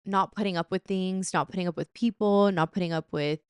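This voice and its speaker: Smooth, chatty young woman podcaster. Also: on the low side, steady tone, brisk, darkish tone, dry recording, studio quality. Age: 20s. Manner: chatty and playful, with laughs and slang asides. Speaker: podcast host. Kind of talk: casual chat.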